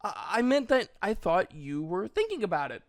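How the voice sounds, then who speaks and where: A man's voice: high voice, very animated, voice pushed up. A man voicing an anxious, earnest young half-elven boy with a hesitant way of speaking, in an audiobook. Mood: uneasy. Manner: hesitant, halting.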